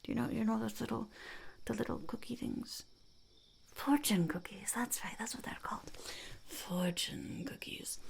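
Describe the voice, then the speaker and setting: Girl doing a sly smug goblin lady for asmr, super creaky whisper, really unhurried, up close on the mic. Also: mid-range voice, a little mellow, exaggerated voice. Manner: speaks of self in third person, slow, halting, conspiratorial. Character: sly, blunt female goblin entrepreneur, smugly cynical. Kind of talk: ASMR roleplay, whispered and soft-spoken.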